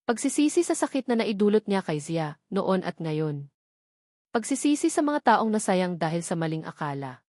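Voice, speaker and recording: A woman with a Filipino accent narrates scripted fiction with a storyteller's drama. Her pitch sits in the middle range for a woman. The recording is dry, with almost no room sound. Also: very animated, moderate pace, a little mellow, no room sound. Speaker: audiobook narrator.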